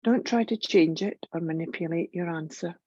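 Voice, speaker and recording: A woman guiding a yoga relaxation meditation in a gentle, soothing, measured way, leaving long pauses. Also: lowish voice, slightly rough, no echo, lo-fi sound. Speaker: yoga nidra meditation guide.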